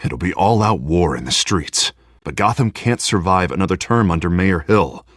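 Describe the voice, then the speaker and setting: An actor playing an earnest, idealistic male politician in a video game, emphatic and persuasive, with a husky voice. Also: low voice, expressive, moderate pace, fairly bright tone, no echo, clean studio sound. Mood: earnest, rousing. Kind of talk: dramatic game dialogue acting.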